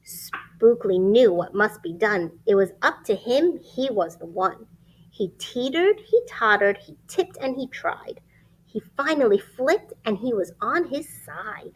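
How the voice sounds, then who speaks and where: A woman reading a picture book aloud to young children, expressive and emphatic, pausing to ask questions and point things out. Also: on the high side, husky, poor audio. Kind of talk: animated children's read-aloud.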